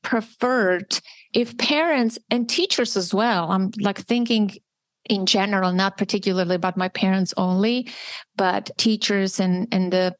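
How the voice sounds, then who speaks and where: A woman in her forties, originally from Armenia, talks in a candid, reflective way, restarting her sentences as she thinks. Her voice sits at a middle pitch and sounds dry and close, with no room around it. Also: a little slow, no echo. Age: 40s. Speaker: podcast host. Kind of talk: personal conversation, answering intimacy questions.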